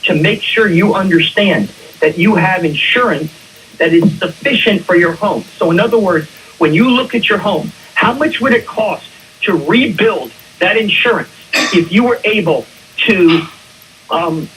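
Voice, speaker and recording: A man's voice: sits high, fairly bright tone, distant mic, a little hiss or hum, rough recording. A man speaking as an expert guest on a radio talk show, explaining conversationally and emphatically, with rhetorical questions. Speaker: attorney and insurance claims expert, radio guest. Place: radio station.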